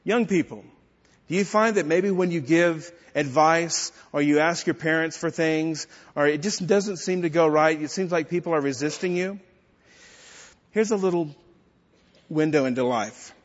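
Male pastor, measured sermon delivery with pointed asides, muffled recording in a reverberant sanctuary. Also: on the high side. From Texas. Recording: dull lo-fi sound in a reverberant hall.

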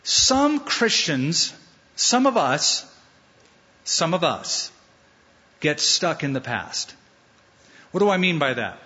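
A man preaching a sermon as pastor, animated and playful, acting out the voices he quotes. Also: on the high side, slow pace, long pauses. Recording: dull sound in a large hall with long reverb.

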